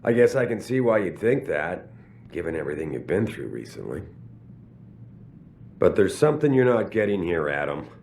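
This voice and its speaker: An actor playing a proud, evasive middle-aged company boss in a video game, speaking emphatically with drawn-out stresses. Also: male voice, sits low, big pitch swings, gravelly, a little mellow, a little hiss or hum, heavily acted. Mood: steely, commanding. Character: commanding, evasive, proud corporate boss man. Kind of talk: video game cutscene acting.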